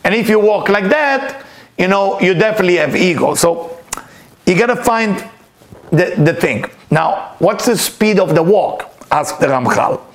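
A man with a fairly high voice talks through a spiritual text in an earnest, informal way. His first language is Hebrew, and he often repeats his points and poses questions aloud as he teaches. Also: lively intonation, measured pace. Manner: earnest, repetitive, asks himself questions aloud. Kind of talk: informal spiritual lesson, reading and explaining.

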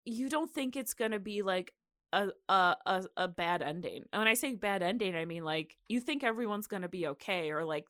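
A dry, close recording of a woman hosting a podcast. Her voice sits at a middling pitch as she tells history informally, in a playful conversational style. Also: lively intonation, a little fast, fairly bright tone, no room sound. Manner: jokey, giggly, informal. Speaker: podcast host. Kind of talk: casual, conversational history storytelling.

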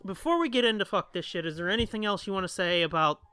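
A man's voice: sits high, expressive, fast, bright, forceful tone, smooth, no echo. A man hosting a casual podcast, chatting in slangy language with plenty of swearing. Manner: profane, slangy. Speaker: podcast host.

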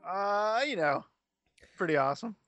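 A young man co-hosting a casual comedy podcast with a smooth voice, laid-back, laughing often and swearing a lot. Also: high-pitched, expressive, normal speed, fairly bright tone, no room sound, soft background music. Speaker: podcast co-host.

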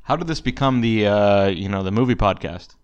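A man's voice: on the low side, brisk, clear, resonant tone, muffled recording. A man chatting on a casual podcast, with a wry, teasing manner. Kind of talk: casual chat.

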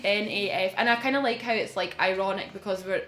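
A woman's voice: medium pitch, brisk, fairly bright tone. Chatty woman in a roomy space. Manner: chatty, lots of "like" fillers. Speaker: salon owner. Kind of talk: casual interview chat. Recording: roomy echo.

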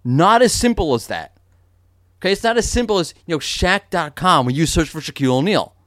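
A man's voice: on the high side, lively intonation, fairly quick, fairly bright tone, no echo, studio quality. A man hosting a podcast in a casual, joking way, explaining things with lots of fillers and restarts. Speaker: podcast host.

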